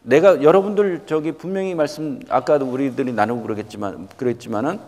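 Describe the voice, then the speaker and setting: A man's voice: mid-range voice, a little fast, a little mellow. A man in his fifties from South Korea gives a motivational lecture to students, emphatic, questioning listeners directly and repeating key points. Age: 50s. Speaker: guest lecturer, company executive. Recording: reverberant hall sound.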